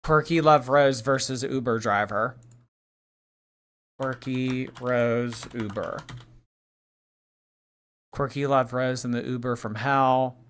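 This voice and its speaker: A woman in her late twenties chatting on a livestream, reading out viewer tips and teasing, swinging between loud and mumbled. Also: extremely low pitch, fairly even intonation, slow pace, long pauses. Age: late 20s. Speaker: streamer. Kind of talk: livestream reaction chat.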